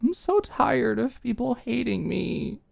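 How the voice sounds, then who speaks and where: A woman's voice: a little high, big pitch swings, takes it slowly, vocal fry, darkish tone, pitched above the performer's own voice, heavily acted. A woman voicing a drunk, guilt-ridden young woman in an audio drama, crying and stammering in a trembling, pleading voice. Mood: pleading, miserable. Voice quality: trembling, faltering voice.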